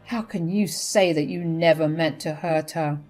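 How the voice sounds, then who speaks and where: A woman's voice: low voice, unhurried, a little mellow, faint music behind. A woman voicing an angry adult son who speaks bluntly and firmly. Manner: blunt and firm.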